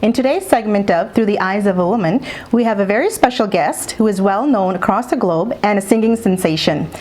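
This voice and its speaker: Woman host with steady backchannel. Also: medium pitch, fairly quick. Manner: frequent short affirmations, leading questions. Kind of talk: interview hosting. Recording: faint background noise.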